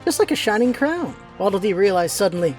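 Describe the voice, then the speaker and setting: A woman's voice: medium pitch, big pitch swings, quick pace, music in the background. A woman reading scripted storybook narration as the narrator of a radio play.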